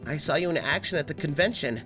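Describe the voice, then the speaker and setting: A man's voice: a little high, lively intonation, quick pace. Man voicing an earnest young robot hero over music. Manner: encouraging, resolute. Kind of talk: dramatic comic-dub acting.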